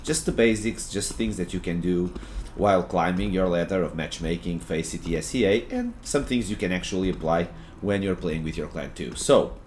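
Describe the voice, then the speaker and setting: A young man from Portugal with a Portuguese accent, giving a relaxed, halting game tutorial at home with lots of fillers and restarts. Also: sits low, slight background noise. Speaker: gaming tutorial creator. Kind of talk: casual game tutorial commentary. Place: home, at his computer.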